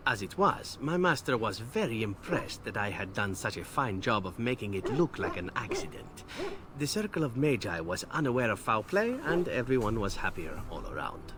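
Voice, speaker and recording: An actor playing a roguish, flirtatious assassin in a video game, telling tales in a suave, glib, teasing way. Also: male voice, on the low side, sing-song, normal speed, background noise. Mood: breezy, unrepentant. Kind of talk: video game dialogue acting.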